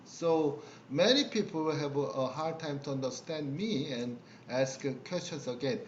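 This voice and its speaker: A middle-aged man with a Korean accent, a pastor telling stories in a halting way with many fillers and laughs. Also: a little high, noisy background. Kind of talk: casual conversational storytelling. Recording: roomy, dull video-call audio.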